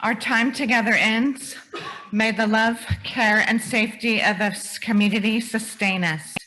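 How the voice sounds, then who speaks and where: Woman giving a calm benediction. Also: fairly high pitch, unhurried, rough recording. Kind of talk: closing benediction. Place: church sanctuary.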